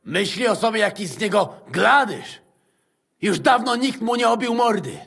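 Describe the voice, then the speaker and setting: An actor playing a hot-headed, foul-mouthed male mercenary with a raspy, gravelly voice and a crude, angry way of muttering. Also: sits high, lively intonation, normal speed, fairly bright tone, heavily acted.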